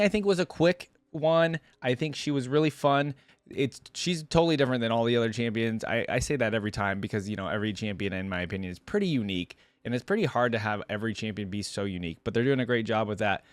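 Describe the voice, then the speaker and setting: A man's voice: on the low side, no room sound. A young man hosting a reaction video, giving casual commentary and musing aloud in an informal way.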